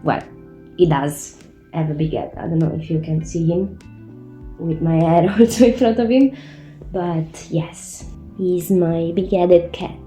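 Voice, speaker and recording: A woman's voice: fairly low pitch, a little slow, dark tone, echoey room, soft background music. A woman, a native Italian speaker, hosting her own channel in casual chat, sounding congested from a cold, chatty and self-correcting. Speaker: Sims builder, channel host. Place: room at home. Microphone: new microphone.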